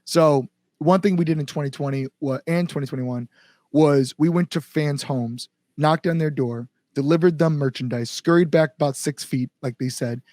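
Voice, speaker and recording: American man, fairly high voice, dry close sound, earnest, informal interview chat, musician. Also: normal speed, darkish tone, dry recording. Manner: earnest, informal with mild swearing. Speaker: band front-man being interviewed. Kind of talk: casual conversational interview.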